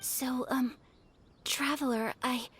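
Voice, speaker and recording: A woman playing a gentle, refined young noblewoman in a video game, speaking softly, formally and earnestly. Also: sits high, steady tone, takes it slowly, a little hiss or hum. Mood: earnest, hesitant. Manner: soft, formal, hesitant. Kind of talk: English video game voice acting.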